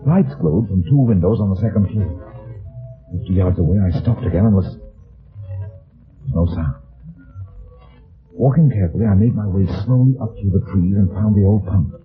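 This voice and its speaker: A man's voice: lowish voice, lightly acted. An actor playing a wry, wary, heavyset private detective, narrating his case tersely in a radio drama. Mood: brooding, wry. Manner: deliberate, terse, dry storytelling. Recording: old phone-like radio recording, music behind.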